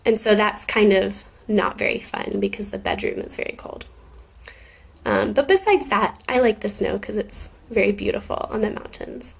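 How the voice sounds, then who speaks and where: A young woman with an American accent, raised in Scotland and Germany, answering questions for an English class in a relaxed, thoughtful way, with frequent ums. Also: medium pitch, normal speed, creaky, breathy edge. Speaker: guest answering student questions.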